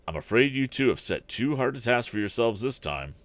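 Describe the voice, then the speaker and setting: A man's voice: mid-range voice, very animated, brisk, a bit bright, no echo, pitched above the performer's own voice. Man playing a cautious aged inventor father. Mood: cautioning.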